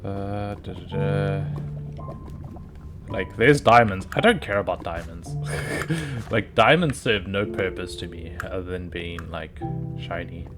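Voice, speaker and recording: A man with a lowish, hoarse voice chats to himself at a slow, easygoing pace while he plays a game on stream. Steady background music sits under his voice. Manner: rambling, talking to himself while playing. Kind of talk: casual gameplay commentary.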